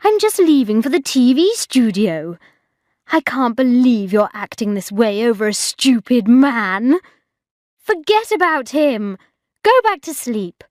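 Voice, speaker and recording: A woman's voice: fairly high pitch, a little mellow, no echo, studio quality. Woman putting on a bossy, self-assured mother. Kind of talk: audiobook character acting.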